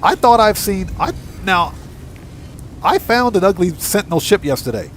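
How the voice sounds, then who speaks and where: A man's voice: high-pitched, very animated, moderate pace, slight background noise. A man doing casual live game commentary, talking informally to his viewers and repeating phrases for emphasis. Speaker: live streamer and channel host. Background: music.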